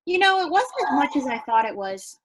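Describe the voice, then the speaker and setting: Woman playing an anxious, high-voiced naive rambler. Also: big pitch swings, brisk. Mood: nervous rambling. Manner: nervous rambling. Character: anxious, naive, rambling young female passenger. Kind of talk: staged reading of a stage play.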